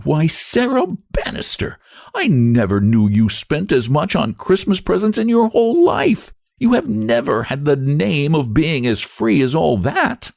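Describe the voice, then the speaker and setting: Man doing a sharp-tongued, jealous spinster. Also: a little high, big pitch swings, a little slow, vocal fry, no room sound, studio quality, pitched above the performer's own voice, heavily acted. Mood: sniping. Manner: drawling, snappish rural speech. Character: Lottie, sharp, jealous, sniping spinster woman.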